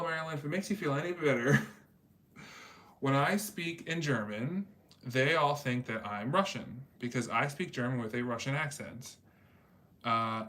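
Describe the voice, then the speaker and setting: A man talking in a relaxed, rambling way as he hosts a casual livestream, filling his sentences with small asides. His voice sits at an ordinary middle pitch for a man. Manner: rambling and chatty, many fillers. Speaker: livestream host reading chat. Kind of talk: casual chat.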